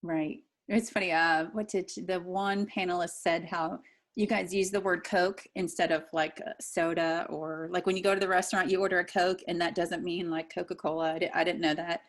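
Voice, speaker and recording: A woman's voice: lowish voice, fairly even intonation, normal speed. A woman hosting an interview panel, asking questions in a friendly, conversational way. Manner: friendly, conversational, easy laughter. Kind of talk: casual interview chat.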